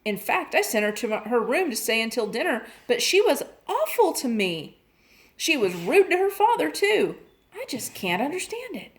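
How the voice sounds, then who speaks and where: A fretting storybook mother, anxious and indignant, her voice rising high as she pours out her worries, played by a woman reading aloud. The pitch swings widely from phrase to phrase. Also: lively intonation, moderate pace, lightly acted. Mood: worried. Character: upset, fretting mother, middle-aged woman.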